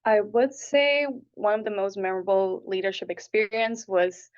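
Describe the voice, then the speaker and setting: A woman's voice: medium pitch, moderate pace. A woman in her twenties from Taipei and Hong Kong, earnestly answering interview questions in a conversational way. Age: 20s. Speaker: interviewee, applicant. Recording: dull, lo-fi audio.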